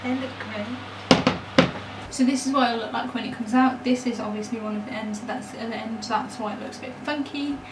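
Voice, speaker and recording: A young woman with a fairly high voice chats informally through a home baking demonstration, poking fun at herself as she goes. The sound is roomy, with the camera some way off and noticeable noise behind her. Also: steady tone, a little fast. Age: young adult. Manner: informal, self-deprecating, unscripted asides. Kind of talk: casual chatty cooking demonstration. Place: small home kitchen, indoors. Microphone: camera mic a metre or two away. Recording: roomy, distant mic, noisy background.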